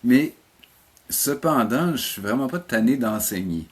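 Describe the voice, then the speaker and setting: A mature man with a Québécois French accent, speaking informally and haltingly as a guest in a casual interview. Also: mid-range voice, expressive, breathy edge. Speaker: interview guest, animation teacher and program coordinator.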